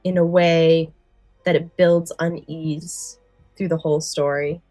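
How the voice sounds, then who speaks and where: A woman's voice: deep voice, little pitch movement, takes it slowly, dark tone, no echo, soft background music. A woman co-hosting a podcast in casual chat, recounting favourite stories and often correcting herself. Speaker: podcast co-host.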